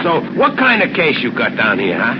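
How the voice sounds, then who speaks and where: An actor playing a chummy, boastful New York cab driver in an old radio drama, talkative and slangy. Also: male voice, mid-range voice, huge pitch swings, fairly quick. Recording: noisy, muffled old radio recording.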